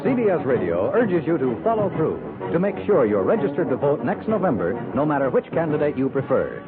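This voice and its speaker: An American man announcing in formal, emphatic 1950s radio style from a script. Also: fairly high pitch. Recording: narrow-band 1950s radio recording. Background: music.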